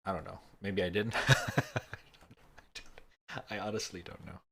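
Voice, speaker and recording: Young male streamer, deep voice, loose rambling chat with casual swearing. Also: little pitch movement, a little slow, creaky, fairly bright tone, breathy edge. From Indonesia. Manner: rambling, laid-back, swears casually, chuckles often.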